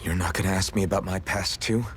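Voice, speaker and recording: A man's voice: low voice, steady tone, quick pace, a little hiss or hum. An actor playing an earnest young swordsman in a video game, guarded, questioning and understated. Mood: subdued, sincere. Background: background music.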